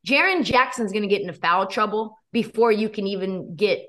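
A young woman guest with a hoarse, worn voice, talking sports and betting in a quick, energetic, opinionated way. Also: mid-range voice. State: losing her voice. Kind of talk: casual sports chat.

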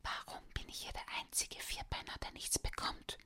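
Woman whispering a kids story, bright breathy hush the whole way. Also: moderate pace. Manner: soft unvoiced whisper throughout.

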